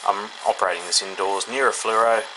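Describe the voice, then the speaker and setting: A man's voice: lowish voice, steady tone, quick pace, bright, forceful tone, rough recording. An Australian man giving a casual, unscripted product review indoors, thinking aloud in an informal, hesitant way. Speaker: hobbyist radio reviewer. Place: indoors, in a room. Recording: clear background noise, indoor home recording.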